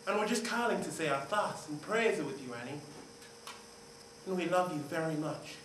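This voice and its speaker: An actor playing a gentle caller who leaves a slow, tender message. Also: male voice, on the high side, expressive, reverberant, recorded from a distance, a little hiss or hum, poor audio.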